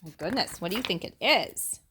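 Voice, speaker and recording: A woman reading a picture book aloud to young children in an animated teacherly way, pausing to ask questions and chat about the story. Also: lowish voice, a little hiss or hum. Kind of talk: expressive read-aloud storytelling with asides and questions.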